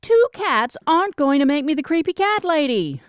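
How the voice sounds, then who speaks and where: A woman's voice: high-pitched, very animated, moderate pace, dry recording, voice pushed up. A woman voicing a self-conscious but stubborn single woman in audiobook dialogue, with defensive, dry banter.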